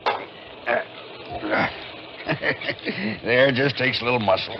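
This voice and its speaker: Pushy male drifter, American accent, gruff, colloquial, vintage radio drama, muffled noisy sound. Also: mid-range voice, sing-song, very slow, full character voice. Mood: sly, casual. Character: pushy, shady tough guy, a beach drifter. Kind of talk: 1940s radio drama acting.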